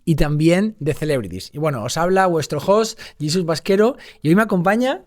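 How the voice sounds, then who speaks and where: A man's voice: on the high side, expressive, a little fast. A man hosting a podcast in a conversational style, introducing and questioning as he goes. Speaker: podcast host. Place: TV studio.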